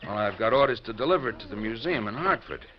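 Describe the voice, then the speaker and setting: A bossy American con man, played by a radio actor, brusque and slippery, his pitch moving all over as he reassures and pushes. It sounds like a dull, clearly noisy old radio crime show. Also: animated, fast talker, natural voice. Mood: smooth, reassuring. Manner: brusque, colloquial, falsely reassuring. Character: shifty, impatient male con man, evasive and bossy. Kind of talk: 1950s radio crime drama acting. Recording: muffled, noisy 1950s radio recording.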